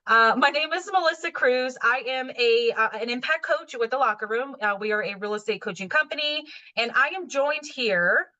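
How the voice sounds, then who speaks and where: A woman's voice: high-pitched, moderate pace, highs cut off. A woman coach hosting a video call interview, introducing her guest with enthusiasm and many fillers. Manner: enthusiastic, warm, filler-heavy. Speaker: host, coach.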